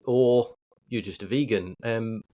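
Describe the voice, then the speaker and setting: A man's voice: fairly low pitch, a little slow, mellow. British man hosting, jokey casual chat. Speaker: podcast co-host.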